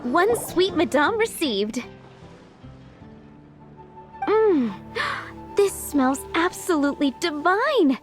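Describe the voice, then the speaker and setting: Cheerful young cook, high pitch, animated, bubbly, video game dialogue, light music behind. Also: female voice, extremely slow, pauses often, full character voice. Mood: businesslike, then delighted. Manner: bubbly, expressive. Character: cheerful young tavern head cook, proud and friendly.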